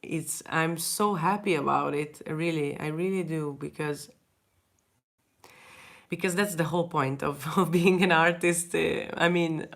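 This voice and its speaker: A young Hungarian-accented woman talking in a low, unhurried voice. She answers viewer questions in a relaxed, halting way, often restarting sentences and laughing softly. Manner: hesitant, many restarts, frequent soft laughs. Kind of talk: casual livestream Q&A chat.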